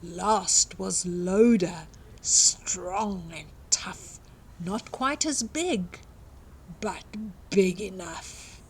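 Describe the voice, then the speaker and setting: A woman's voice: on the low side, very slow, a little hiss or hum. An older woman reading a picture book aloud expressively to young children, with simple explanatory asides. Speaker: children's storytime reader and host.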